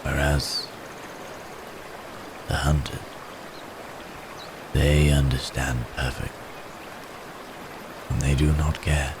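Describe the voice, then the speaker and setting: A man voicing a gentle, remorseful wounded werewolf in an intimate ASMR roleplay, speaking haltingly and formally, as if in pain. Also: very deep voice, flat delivery, vocal fry, noisy background, exaggerated voice. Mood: haunted, remorseful. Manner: halting, hesitant, soft-mannered storytelling with formal wording. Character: wounded male werewolf, gentle, remorseful, recounting his escape.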